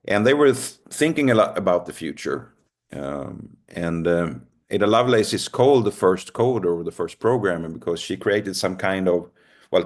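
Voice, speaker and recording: A middle-aged Swedish man with a Swedish accent, speaking English as a second language, giving a recorded lecture with frequent ums and uhs. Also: deep voice. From Sweden. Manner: hesitant, many ums and uhs, self-deprecating.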